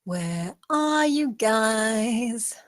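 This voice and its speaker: A woman's voice: a little high, expressive, extremely slow, no echo, clean studio sound. A woman playing an enraged, menacing attacker in a table read of a thriller screenplay. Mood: frustrated, bewildered.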